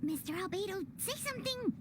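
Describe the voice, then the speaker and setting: A woman voicing a tiny, childlike fairy companion in a video game, squeaky, cheeky and blunt. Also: expressive, a little fast, very noisy. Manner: squeaky, refers to self in third person. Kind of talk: cartoonish video game acting.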